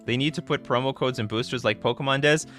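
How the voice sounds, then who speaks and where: Bright-voiced man rambling on a livestream. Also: medium pitch, quick pace, faint music behind. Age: 30s. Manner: rambling, excitable, restarts sentences. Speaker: livestream host. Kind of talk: casual live-stream chat answering viewer questions.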